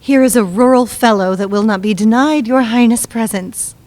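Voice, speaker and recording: A woman's voice: fairly high pitch, normal speed, darkish tone, natural voice. A woman playing a devoted, anxious handmaiden, urgent and deferential, in classical Shakespearean stage style.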